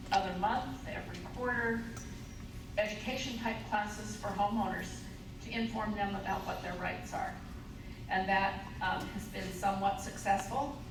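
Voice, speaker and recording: A woman's voice: mid-range voice, vocal fry. A woman giving an informal spoken report as a group representative at a convention. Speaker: state homeowners' group representative. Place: large echoey convention meeting room. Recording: very echoey, noisy room, distant mic.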